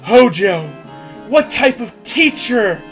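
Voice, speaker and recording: A man's voice: very high-pitched, very animated, takes it slowly, fairly bright tone, soft background music, full character voice. A man voicing a melodramatic, vengeful teenage schoolgirl from fan fiction, declaiming with overblown indignation. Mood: indignant, threatening.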